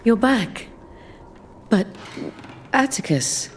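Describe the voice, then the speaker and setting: An actress playing a worried, grieving wife in a video game, speaking haltingly and with emotion. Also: female voice, fairly high pitch, lively intonation, a little hiss or hum. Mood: anxious. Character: worried wife searching for her missing husband, grief-stricken. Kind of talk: video game drama acting.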